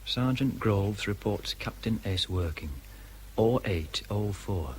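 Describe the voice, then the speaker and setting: A man's voice: fairly low pitch, lively intonation, takes it slowly, slight background noise. An actor playing a formal, impassive military man reading out an official log in a radio drama. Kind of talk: radio drama, official report read aloud.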